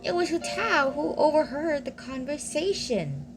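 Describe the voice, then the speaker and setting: A woman's voice: high-pitched, very animated, unhurried. A woman giving casual gameplay commentary as a streamer, reacting in short remarks and repeating herself. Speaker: gaming streamer. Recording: loud game music behind voice. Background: video game music.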